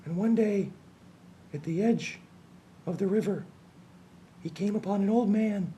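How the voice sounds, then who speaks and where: A man telling a fairy tale slowly and deliberately, in a hushed storytelling manner. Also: high-pitched, a little hiss or hum. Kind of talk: slow dramatic fairy-tale narration.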